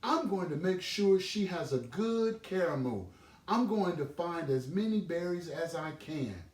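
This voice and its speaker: A man's voice: high voice, animated, measured pace, voice pushed up. A man voicing an earnest, childlike little boy rabbit in a storybook reading. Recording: roomy, noisy, distant microphone.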